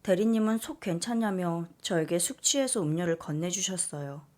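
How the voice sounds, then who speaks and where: A woman narrating a listener's letter in first person, soft and confiding. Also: on the low side, normal speed. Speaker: story reader.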